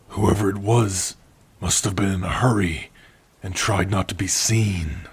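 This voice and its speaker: A man plays a protective orc in an intimate ASMR roleplay. His voice is lowish and extremely breathy and husky. He speaks slowly and haltingly, with a tender, worried softness. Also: steady tone, full character voice. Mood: tender, puzzled. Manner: soft, halting, hushed, intimate.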